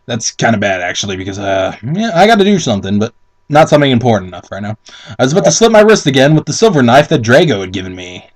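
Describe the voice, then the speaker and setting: A man's voice: mid-range voice, very animated, fast. A man doing a mock dramatic reading with casual commentary, incredulous, sweary and theatrical. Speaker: amateur commentator reading fan fiction aloud.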